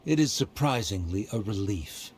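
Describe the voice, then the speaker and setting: An actor playing a haughty, condescending scholar in an anime game, measured and disdainful. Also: male voice, fairly low pitch, expressive, darkish tone, slight background noise, close to an everyday voice. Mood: scornful.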